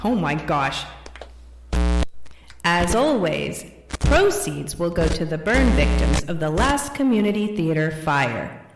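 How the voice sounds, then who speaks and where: A flustered woman giving theater announcements, slow and low, tripping over her words. She sounds far from the mic in a roomy space. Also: heavily acted. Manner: flustered, stumbling.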